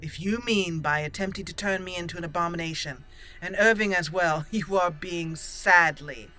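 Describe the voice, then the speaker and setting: A woman's voice: fairly low pitch, normal speed, sharp tone. A woman voicing a stern, defiant elf mage in a roleplayed game, speaking in a formal, cool manner. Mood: scornful defiance. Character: stern, defiant young elf mage heroine raised among dwarves.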